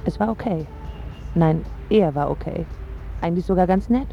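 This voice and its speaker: A woman's voice: on the low side, moderate pace, creaky, highs cut off, slight background noise. An actress playing a passionate, wounded young woman in love, in a radio drama with first-person narration. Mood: wistful narrating.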